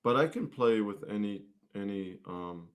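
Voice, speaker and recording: A man with a fairly low voice speaks as a guest musician. His delivery is casual and conversational, like an informal chat. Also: animated, unhurried.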